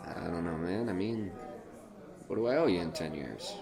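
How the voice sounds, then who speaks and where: Man as a gravelly, bitter drunk comedian. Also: low voice, animated, moderate pace, a crowd in the background. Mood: wary. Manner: grumbling, defensive, world-weary. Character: bitter, drunken, down-on-his-luck male stand-up comedian. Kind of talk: retro horror audio drama acting.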